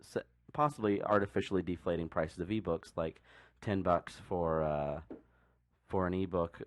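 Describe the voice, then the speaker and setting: A man co-hosting a podcast, talking casually and explaining things in a rambling, halting way. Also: low voice, slight background noise. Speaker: podcast co-host. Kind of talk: casual discussion.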